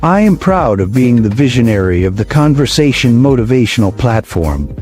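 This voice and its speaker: A man from Guyana answering prepared interview questions deliberately, repeating each question in his answer. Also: mid-range voice, big pitch swings, darkish tone. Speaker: interviewee, motivational platform founder. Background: music.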